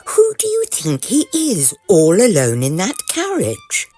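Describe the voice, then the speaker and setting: Actress as a husky, sardonic opera soprano. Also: female voice, medium pitch, wildly swooping pitch, unhurried, warm tone, soft background music, exaggerated voice. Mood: sour. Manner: dry, theatrical grumbling. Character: jealous, sardonic female second soprano. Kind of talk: period audio drama farce.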